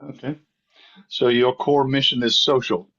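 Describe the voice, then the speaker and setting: A man's voice: mid-range voice, fairly even intonation, measured pace, fairly bright tone, breathy edge, muffled recording. A man leading an online course session over a video call, talking with hesitant fillers. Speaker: course instructor. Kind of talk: leading an online class discussion.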